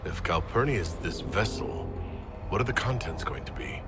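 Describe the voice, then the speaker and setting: Low-voiced, earnest, resolute hero. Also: male voice, expressive, normal speed, music in the background. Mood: questioning, wary. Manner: earnest, direct. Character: gallant, resolute male hero leading a fight. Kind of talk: dramatic video game acting.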